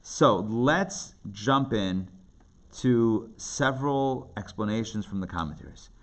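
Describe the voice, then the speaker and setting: A man's voice: lowish voice, animated, slow pace, fairly bright tone. A man teaching a class as a rabbi, explaining texts in plain talk with emphatic repetition and rhetorical questions. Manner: emphatic, repeats phrases and asks rhetorical questions. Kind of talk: interactive lecture, explaining commentaries in plain talk. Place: indoor class with participants. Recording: live classroom recording with background noise.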